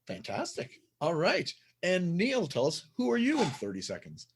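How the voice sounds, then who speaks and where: A man's voice: sits high, huge pitch swings, moderate pace, slightly rough. A man hosting a casual radio interview, upbeat and chatty, laughing often. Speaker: podcast host.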